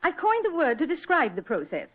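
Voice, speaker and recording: An actress playing a proud, clever woman scientist, speaking deliberately and precisely in old-time radio style. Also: high voice, huge pitch swings. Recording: tinny, band-limited old radio recording.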